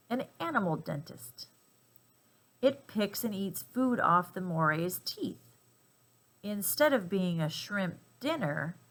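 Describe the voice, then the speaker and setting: Slow, creaky woman reading a children's storybook. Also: lowish voice, a bit bright. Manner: emphatic, playful delivery for young children. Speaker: storybook reader. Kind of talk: expressive children's read-aloud.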